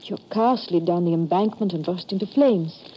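A woman's voice: lowish voice, normal speed, mellow, close to an everyday voice. An actress playing a polite, helpful, slightly hesitant young woman motorist in a 1950s radio drama. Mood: concerned. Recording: noisy old radio drama recording.

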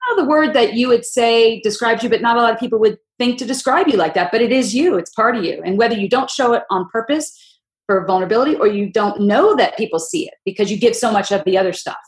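Gruff-voiced woman host in a roomy space. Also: animated, fast. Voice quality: deep, gruff voice. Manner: loud, opinionated, rambling storyteller. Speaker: podcast host. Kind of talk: casual chat. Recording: roomy echo.

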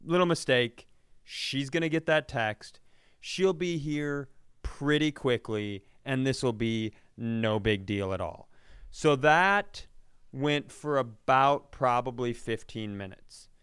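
A man co-hosting a podcast, telling self-deprecating, profane comic stories in an animated, exasperated way. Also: mid-range voice, slow pace, smooth, no room sound, studio quality. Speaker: podcast co-host. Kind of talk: comedic storytelling in casual podcast chat.

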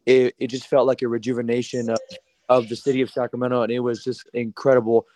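A man calling in to a sports radio show, eager and gushing, with a slightly raspy voice. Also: mid-range voice, level tone, fast, a little mellow, dry recording. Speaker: caller. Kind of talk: phone-in chat.

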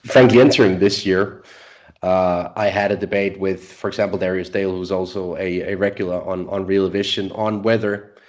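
A man from Denmark with a slightly low voice gives his market views. He hedges with frequent fillers and slips in small chuckles as he talks. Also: fairly even intonation, normal speed, poor audio. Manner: hesitant, many filler sounds, chuckling asides. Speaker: market strategist guest. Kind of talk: conversational market discussion.

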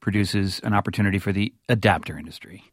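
A man presenting and interviewing as a radio host, with measured, wry and formal phrasing. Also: deep voice, dry recording, studio quality.

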